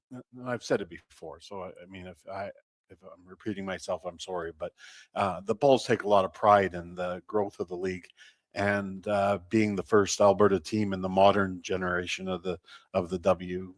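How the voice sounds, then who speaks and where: A low-voiced man gives an interview as a baseball team representative. He talks in a measured, halting way, stopping mid-thought before carrying on. The sound is close and dry. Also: steady tone, no room sound. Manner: measured, halting, often pausing mid-sentence. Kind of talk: unscripted sports interview talk.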